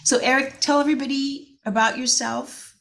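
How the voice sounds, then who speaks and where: A woman's voice: high voice, steady tone, normal speed, creaky, a bit bright. Woman hosting an interview. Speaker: podcast host. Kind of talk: interview host.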